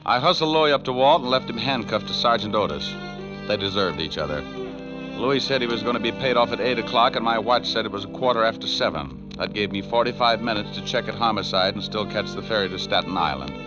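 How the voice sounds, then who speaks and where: An actor playing a tough, wisecracking private detective in a 1940s radio drama, with an American accent and a wry, clipped delivery. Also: male voice, on the low side, animated, a little fast, close to an everyday voice. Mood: hard-boiled, wry. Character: tough wisecracking male private detective narrating his case. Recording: muffled old 1940s radio recording, music behind.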